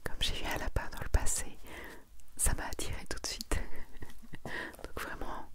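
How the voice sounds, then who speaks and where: A woman whispering softly and without hurry in an ASMR style, as she presents and reads aloud. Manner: soft, hushed, unhurried whispering. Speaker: ASMR video creator presenting a manga collection. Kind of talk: whispered ASMR, reading and commenting.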